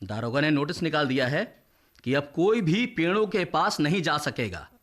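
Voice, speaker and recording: Village doctor, male, raised pitch, animated, blunt, argumentative, emphatic, radio drama. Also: normal speed. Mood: assertive. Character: blunt, indignant village doctor, scolding his friends. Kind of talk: Hindi radio drama acting.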